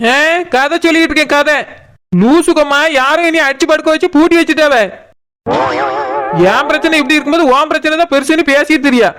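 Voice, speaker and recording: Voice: sits high, steady tone, a little fast, a bit bright. A performer voicing an indignant, sarcastic village daughter in a comic cartoon, with a Kanyakumari Tamil accent. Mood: aggrieved, scolding. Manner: scolding, sarcastic. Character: indignant village daughter, scolding her mother.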